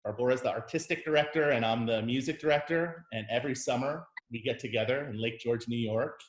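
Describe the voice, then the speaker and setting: Male conductor as host, mid-pitched, measured and friendly, recorded in a roomy, echoey space. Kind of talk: casual interview conversation. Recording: roomy echo.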